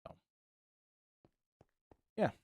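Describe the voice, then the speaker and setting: A man chatting casually on a livestream while building in a game, his voice hoarse, trailing off with easy fillers. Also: extremely slow, dark tone. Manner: laid-back, rambling with trailing "so" and "yeah". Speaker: streamer.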